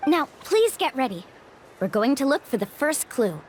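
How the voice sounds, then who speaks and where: Casting: bubbly, confident young guide, lively pitch, light music behind. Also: female voice, moderate pace, noisy background. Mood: explaining. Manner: upbeat, playful, confident. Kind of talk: video game voice acting. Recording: game audio with music behind.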